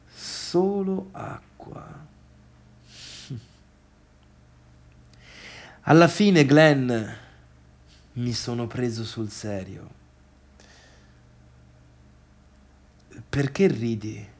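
Mocking, agitated man with slow delivery. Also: mid-range voice. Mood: wounded, subdued. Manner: conversational, emphatic, repeats himself, chuckling asides. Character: mocking, agitated man recalling a wild party, self-deluded misfit. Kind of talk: theatrical monologue in colloquial Italian.

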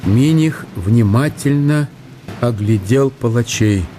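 A man's voice: medium pitch, slow, warm tone, slight background noise. A man narrating a radio drama in a scripted, storytelling style.

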